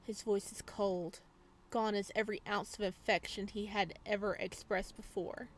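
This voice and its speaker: A woman's voice: mid-range voice, steady tone, moderate pace, slight background noise. A woman gaming YouTuber reading visual novel text aloud, mixed with casual commentary.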